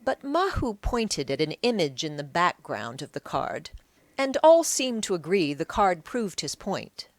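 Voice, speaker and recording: A woman narrates a story for an audiobook. Her voice sits a bit low and moves with lively intonation, recorded very dry and close. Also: a little slow, no room sound.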